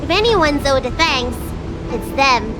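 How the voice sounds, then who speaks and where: A woman's voice: high-pitched, expressive, measured pace, music in the background. A woman voicing a young, burdened girl elder in a video game, in an earnest, theatrical manner. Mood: matter-of-fact.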